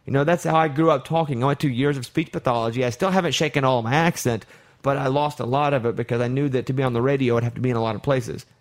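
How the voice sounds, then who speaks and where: Southern male radio host, animated storyteller, mid pitch, casual on-air chat. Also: fast. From Arkansas, US. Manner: animated storyteller, jumps pitch when excited. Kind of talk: casual banter.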